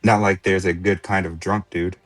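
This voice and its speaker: A man's voice: low-pitched, little pitch movement, normal speed, a bit bright, no echo, faint music behind. A man in an amateur anime fan dub playing a laid-back young slacker with a breezy, slangy way of talking. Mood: easygoing.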